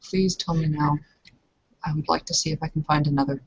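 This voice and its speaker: A woman voices a guarded, proud young wife in a roleplaying game, resigned but stubborn. Her delivery is formal and flat, with a creaky edge and measured control. The recording is dull and lo-fi. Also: deep voice, slight background noise.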